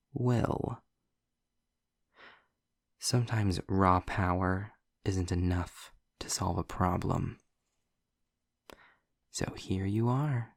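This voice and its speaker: A man playing a snarky, sardonic demon in an audio roleplay, speaking deliberately in a gravelly, husky voice close to the mic. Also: no echo, full character voice. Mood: smug, taunting. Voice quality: gravelly, husky low voice with breathy edges. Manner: sarcastic, deliberate, drawn-out phrasing. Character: snarky, sardonic male demon trapped in hell. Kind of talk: scripted audio roleplay addressed to the listener.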